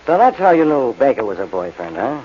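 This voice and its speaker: Man acting as fast-talking, exasperated radio police inspector. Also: medium pitch, big pitch swings, natural voice. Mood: exasperated, puzzled. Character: gruff, exasperated male police inspector, slow to catch on. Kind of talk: 1940s radio detective drama acting. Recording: dull, noisy 1940s radio recording.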